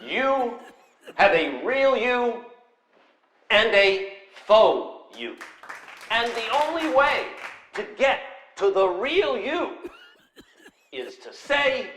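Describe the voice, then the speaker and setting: An actor playing a fervent self-help guru, exhorting a seminar crowd in drawn-out, emphatic phrases. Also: male voice, very high-pitched, very animated, fairly bright tone, a little hiss or hum, full character voice. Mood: preachy. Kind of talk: motivational seminar speech. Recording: echoey arena with a crowd.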